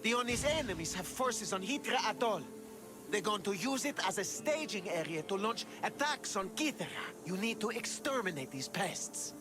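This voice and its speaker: High-voiced, jealous lovesick game character. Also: male voice, big pitch swings, normal speed, music in the background. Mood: scornful. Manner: haughty, defensive, sneering. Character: jealous, lovesick young man giving mercenary orders. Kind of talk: video game dialogue acting.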